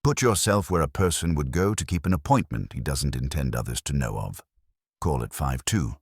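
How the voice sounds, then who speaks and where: A man reads the part of a heavy older armchair detective in a mystery audiobook. The voice is extremely low, with formal, clipped commands and an imperious, deliberate temper. The sound is dry, with little room to it. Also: expressive, slightly rough, no echo, heavily acted. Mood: dry, commanding.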